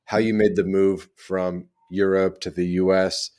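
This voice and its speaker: Low-voiced chatty male podcast host. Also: flat delivery, measured pace, darkish tone, smooth. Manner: chatty, loose, with fillers and restarts. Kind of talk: casual interview hosting. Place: in Europe, remote.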